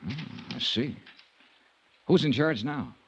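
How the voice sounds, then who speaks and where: An actor playing a wry, persistent insurance investigator in a 1950s American radio drama, with a clipped, conversational manner. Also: male voice, mid-range voice, slow pace, vocal fry, noisy background. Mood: probing. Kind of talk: 1950s radio detective drama acting and narration. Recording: dull vintage 1950s radio recording.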